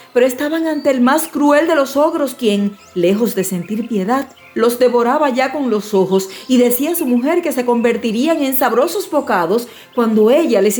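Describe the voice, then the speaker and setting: A woman narrates a children's fairy tale in a slightly high voice. Her storytelling is expressive and measured, with light music playing behind her.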